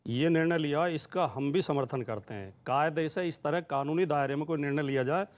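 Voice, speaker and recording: A man's voice: a little high, fairly quick. A man arguing as a party spokesman in a TV debate, emphatic and fond of repetition. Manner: argumentative, emphatic, builds points by repetition. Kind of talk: televised political debate argument.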